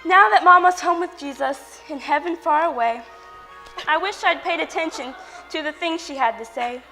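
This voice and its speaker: Woman playing a high, bright, earnest devoted daughter. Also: moderate pace, faint music behind. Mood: sentimental. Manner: earnest, theatrical, emphatic delivery. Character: devoted, earnest, dramatic grown daughter, amateur poet. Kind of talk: stage comedy acting. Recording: echoey hall with long reverb.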